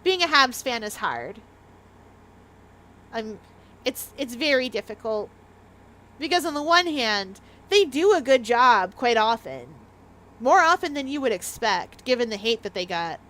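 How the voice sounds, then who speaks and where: A woman's voice: fairly high pitch, big pitch swings, a little slow, fairly bright tone, rough recording. A Canadian woman with a light Maritime Canadian accent, chatting casually and giggling as she livestreams a game. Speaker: game streamer.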